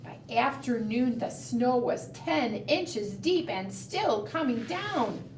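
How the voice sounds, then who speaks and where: A woman reads aloud to preschoolers as a storytime leader. Her voice is high and her pace is very unhurried, with a sing-song lilt and questions for the young listeners. The sound is echoey, as if picked up in a room. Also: lively intonation. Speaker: children's librarian leading preschool storytime. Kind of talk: read-aloud storytelling and fingerplay for young children. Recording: roomy, distant mic, faint background noise.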